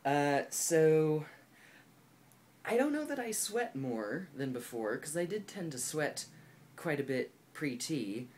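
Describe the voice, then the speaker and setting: A man's voice: medium pitch, a little mellow. A young man in his twenties talking candidly and thoughtfully to camera for his personal vlog, with lots of likes and ums. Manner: thoughtful and candid, heavy use of "like" and "um". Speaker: video blogger. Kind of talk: casual personal vlog talk.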